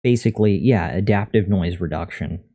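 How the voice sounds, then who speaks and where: A man chatting casually on a live coding stream, thinking aloud in a monotone voice into a USB condenser microphone. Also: low voice, normal speed. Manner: thinking aloud, reading out and answering chat. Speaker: live streamer learning to code. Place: untreated room at a computer. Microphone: USB condenser microphone at a desk.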